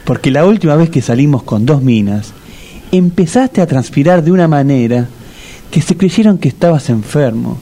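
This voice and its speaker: A man's voice: on the high side, lively intonation, moderate pace, dark tone. An actor playing a fussy, earnest old bachelor in a comic radio drama, scolding his friend in a Rioplatense accent. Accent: Rioplatense Spanish.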